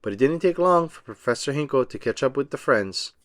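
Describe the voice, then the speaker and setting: A man narrating a children's storybook aloud as an expressive, emphatic storyteller. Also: mid-range voice, brisk, no echo, clean studio sound.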